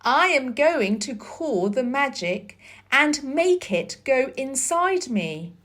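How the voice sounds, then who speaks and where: A woman's voice: high-pitched, big pitch swings, takes it slowly, a bit bright, heavily acted. A woman voicing an imperious, earnest young boy in a storybook, declaring things emphatically. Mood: excited, commanding.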